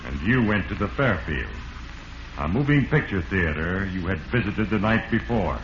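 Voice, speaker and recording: An actor playing the stern, sinister voice of Fate, narrating gravely and portentously as an accuser in 1940s radio style. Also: male voice, deep voice, expressive, moderate pace, fairly bright tone, lo-fi sound. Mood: grave accusing. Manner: grave, portentous, addresses the culprit directly. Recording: echoey, noisy old radio recording.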